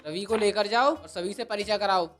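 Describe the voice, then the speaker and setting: A man acts out a hospital doctor in a horror cartoon, his voice notably high for a male. He is matter-of-fact and in charge, with faint music behind him. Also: moderate pace, a bit bright. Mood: instructing. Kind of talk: cartoon horror story voice acting.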